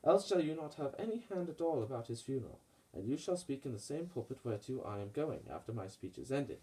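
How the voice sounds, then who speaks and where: An earnest, honourable Roman conspirator, voiced by a man, speaks in a middle-pitched voice. He declaims formal Shakespearean verse persuasively, in the theatrical manner of a puppet show. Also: animated, fast, mellow, lightly acted, low-quality recording. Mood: reassuring, formal.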